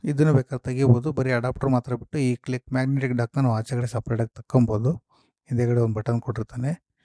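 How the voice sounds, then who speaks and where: A man's voice: mid-range voice, little pitch movement, fast, dark tone, no room sound. A man hosting a casual unboxing, explaining things step by step with English terms mixed in. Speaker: host of a gear unboxing and review video. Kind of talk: casual product walkthrough.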